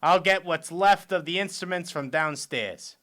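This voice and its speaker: A man's voice: high voice, unhurried, bright, forceful tone. A man playing an eager, upbeat grown son of a jazz family in a comedic radio play.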